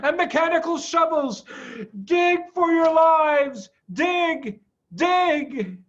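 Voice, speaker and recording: A man voicing a desperate, urgent fox father in a storybook reading, in breathy exclamations. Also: very high-pitched, slow, a bit bright, lo-fi sound, voice pushed up, exaggerated voice. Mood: alarmed.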